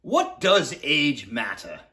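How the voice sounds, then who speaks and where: A woman's voice: rumbling low, huge pitch swings, takes it slowly, a bit bright, full character voice. A woman playing an earnest, dreamy friend protesting in a dramatized novel.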